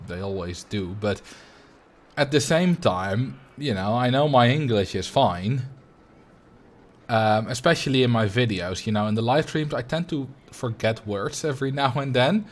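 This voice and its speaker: Relaxed Dutch man chatting on stream. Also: mid-range voice, measured pace. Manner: relaxed and rambling, frequent ums and soft laughs. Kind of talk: casual livestream chat, reading and answering chat.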